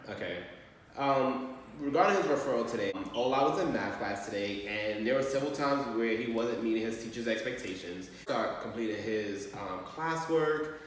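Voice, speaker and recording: A man speaking as a school staff member on a call to a parent, explaining things in a measured way. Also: medium pitch, lively intonation. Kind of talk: training role-play of a parent phone call. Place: large echoey room, far from mic. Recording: very echoey hall, distant mic, faint noise.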